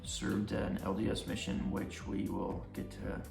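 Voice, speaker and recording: An easygoing man from Utah, chatting in a family Q&A with many ums and pauses. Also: fairly low pitch, little pitch movement, creaky, darkish tone, reverberant, soft background music. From Utah, United States. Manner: easygoing and halting, with many fillers. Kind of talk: casual family Q&A chat.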